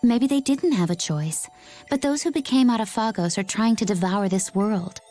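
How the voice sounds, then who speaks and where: A woman playing a poised, cool-headed young female warrior in an English game dub, speaking in a measured, composed way. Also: medium pitch, warm tone, soft background music, close to an everyday voice. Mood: composed, serious.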